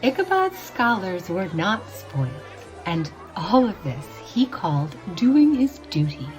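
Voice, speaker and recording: Slow, expressive woman narrating a spooky tale. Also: mid-range voice, rough recording. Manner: theatrical, deliberate phrasing with drawn-out words. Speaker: storyteller, narrator. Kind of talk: dramatic scripted narration of a spooky storybook tale. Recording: music behind the voice. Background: instrumental music.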